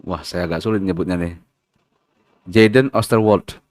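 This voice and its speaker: Low-voiced chatty man with dry sound. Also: steady tone, moderate pace, a little mellow, no echo. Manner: chatty, many fillers and tag questions, stumbles over foreign names. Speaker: football channel host. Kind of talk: casual spoken commentary.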